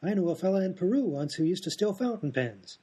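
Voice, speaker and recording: A man's voice: high-pitched, expressive, quick pace, dark tone, breathy edge. A man playing an absent-minded, oblivious hospital doctor who rambles matter-of-factly in an absurd radio comedy sketch. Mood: absent-minded, breezy. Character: daft, absent-minded male hospital doctor, cheerfully off-topic.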